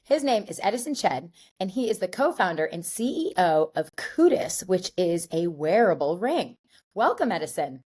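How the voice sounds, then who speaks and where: A woman's voice: on the low side, very animated, normal speed, a little mellow, breathy edge. A woman from the Northeastern United States co-hosting a podcast, chatting casually with frequent restarts. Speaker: podcast co-host.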